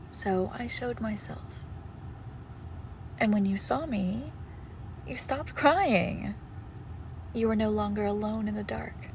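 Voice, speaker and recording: Woman acting a slow, creaky-voiced possessive monster girlfriend. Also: on the high side, background noise. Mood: tender, ominous. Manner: slow, tender, menacing, often dropping into whispers. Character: possessive young female shadow monster posing as doting girlfriend. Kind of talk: intimate horror roleplay audio, scripted monologue.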